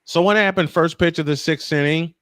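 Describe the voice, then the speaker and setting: A man calling baseball play-by-play and telling anecdotes between pitches. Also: fairly quick, fairly bright tone, no room sound, studio quality. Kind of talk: live sports commentary with anecdotes.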